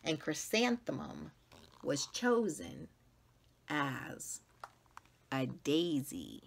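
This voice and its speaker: A middle-aged American woman reading a children's story aloud with dramatic, deliberate emphasis, recorded indoors on a camera at about arm's length. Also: sits low, wildly swooping pitch, gravelly, slightly rough. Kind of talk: expressive read-aloud narration. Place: small classroom-like room, indoors.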